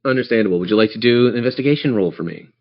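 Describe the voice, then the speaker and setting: A man's voice: medium pitch, racing. A man running a tabletop role-playing game, narrating scenes in a descriptive, theatrical storyteller's manner. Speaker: game master. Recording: dull lo-fi audio.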